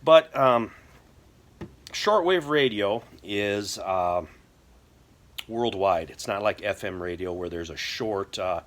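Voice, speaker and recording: A middle-aged American man explaining things casually to camera in a halting, folksy way. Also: lowish voice, animated, fairly bright tone. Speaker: prepper video host. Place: indoor workshop or garage.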